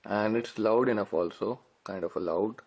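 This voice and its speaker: Low, gravelly man doing casual unboxing commentary. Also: moderate pace, darkish tone. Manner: informal, addresses viewers as guys. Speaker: tech reviewer.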